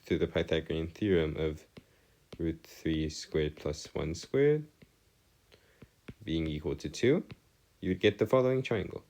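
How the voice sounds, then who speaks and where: A man giving an explanatory math lesson as a tutor, phrasing things formally and pausing deliberately between thoughts. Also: very deep voice, warm tone, low-quality recording. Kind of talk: explanatory math lesson over worked diagrams.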